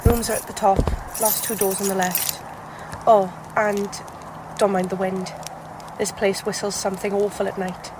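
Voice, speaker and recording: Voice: medium pitch, level tone, moderate pace, very noisy, close to an everyday voice. An actor playing a matter-of-fact tavern innkeeper in a fan audio drama, dry and practical. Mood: dry, businesslike. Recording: busy tavern crowd ambience.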